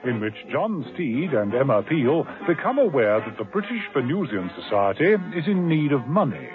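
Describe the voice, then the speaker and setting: Casting: a male narrator for a vintage spy radio serial, animated delivery, over score. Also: mid-range voice, moderate pace. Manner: lively storytelling emphasis. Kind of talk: dramatic radio drama narration. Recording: tinny old radio broadcast with music.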